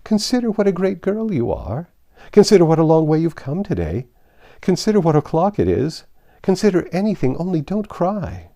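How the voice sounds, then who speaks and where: A man reading aloud, voicing a flustered old queen with a high, fluttering, excitable delivery. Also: normal speed. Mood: fretful. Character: flustered, fussy old queen, woman over a hundred.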